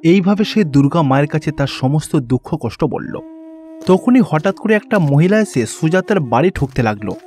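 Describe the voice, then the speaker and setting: High-voiced man narrating a fairy tale. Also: expressive, brisk, a little mellow. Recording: background music under the voice.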